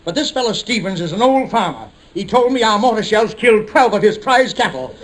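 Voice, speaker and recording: Army captain, high male voice, formal, earnest, vintage radio comedy delivery. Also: expressive, fairly quick, rough recording. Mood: shaken, formal. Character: earnest army captain reporting to his superior. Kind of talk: 1950s radio comedy acting.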